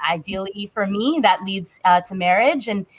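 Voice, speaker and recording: A woman in her thirties is talking as a guest on a panel. She tells her own story candidly, in an everyday conversational way, with a medium-pitched voice. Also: normal speed, rough recording. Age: 30s.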